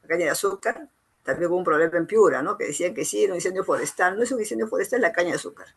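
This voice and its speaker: A woman's voice: fairly low pitch, lively intonation, racing, breathy edge. A woman with a Peruvian accent lectures informally to students. She restates key phrases to drive them home and often closes a thought with a brief tag question. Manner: repeats phrases for emphasis, uses tag questions. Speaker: engineer giving a training lecture.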